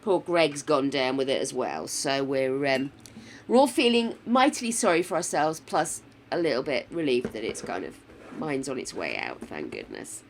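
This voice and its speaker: An older woman streaming a building game, chatting casually, swearing freely and muttering to herself, her voice croaky from a sore throat. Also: a bass voice, very animated, normal speed, a little hiss or hum.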